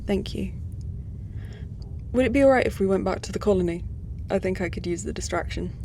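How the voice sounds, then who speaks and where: A woman's voice: mid-range voice, a little fast, vocal fry, darkish tone, slight background noise, lightly acted. A woman playing an earnest, displaced human space traveller in a science-fiction audio drama. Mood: sad, confiding. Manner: earnest, confiding.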